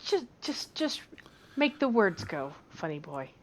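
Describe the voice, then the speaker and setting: A woman's voice: on the low side, sing-song, a little slow. A woman co-host chiming in with casual, teasing banter.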